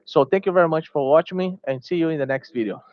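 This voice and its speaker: A man's voice: a little high, quick pace, no room sound, dull audio. A man whose first language is Portuguese, a small gaming YouTuber giving a heated opinion vlog, repeating himself and restarting phrases, sounding tired.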